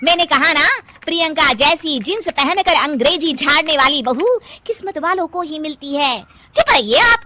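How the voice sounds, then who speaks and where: A vain, bossy mother-in-law from a moral-story cartoon, played by a woman. Her voice is very high and piercingly bright, swinging up and down as she gushes and scolds. She is excitable and theatrical, a middle-aged busybody. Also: moderate pace, hardly any pauses, slight background noise. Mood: bossy, irritated.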